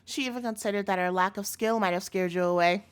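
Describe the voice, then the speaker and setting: A woman's voice: mid-range voice, quick pace, clean tone, natural voice. A woman playing an obsessive, doting captor girlfriend in a scripted audio roleplay, speaking directly to the listener in a cutesy tone with menace in it. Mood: scornful, possessive.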